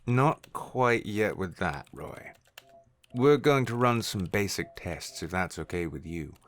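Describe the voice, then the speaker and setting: A man voicing a careful, friendly male scientist in a measured way for an audio story. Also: low-pitched, lively intonation, fairly bright tone, full character voice. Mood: cautious, reassuring. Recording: music under the voice.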